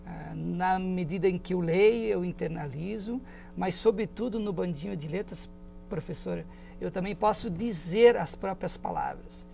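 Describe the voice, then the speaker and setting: A man with a notably high voice speaks in a thoughtful studio interview. His tone carries a strong gravelly crackle, and he picks his words slowly, restarting phrases as he goes. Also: animated, darkish tone, faint music behind. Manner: reflective, searching for words with many restarts. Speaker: guest, public school teacher and parent.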